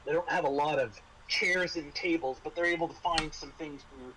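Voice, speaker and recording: A man acting as game master, describing scenes and explaining rules in a halting way, restarting his phrases. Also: fairly high pitch, noisy background. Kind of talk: tabletop RPG narration and casual rules talk.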